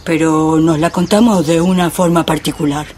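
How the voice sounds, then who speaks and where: A man's voice: a little high, steady tone, normal speed, a little mellow, natural voice. A man playing a brooding, melancholy husband in a poetic theatrical monologue. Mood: tender, weary. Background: music.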